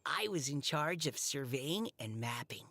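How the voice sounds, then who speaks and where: A woman's voice: rumbling low, lively intonation, measured pace, bright, forceful tone, no echo, full character voice. Timid, stammering boy scout. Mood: earnest. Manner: hesitant, stammering. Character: timid, modest young boy scout, socially awkward. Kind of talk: video game voice acting. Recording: game music behind.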